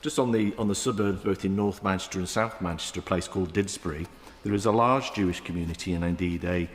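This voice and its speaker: A man in his fifties with a Manchester accent, giving a halting parliamentary debate speech with many fillers and restarts. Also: low-pitched. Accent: Mancunian. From England. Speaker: member of the House of Lords. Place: large echoing debating chamber. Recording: roomy chamber with long reverb.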